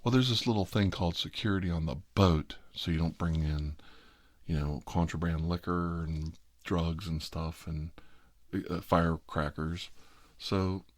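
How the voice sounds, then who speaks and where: A man's voice: low-pitched, unhurried, no echo. A middle-aged American man rambling casually through an anecdote with comic exasperation, acting out the dialogue as he goes. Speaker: storytelling host.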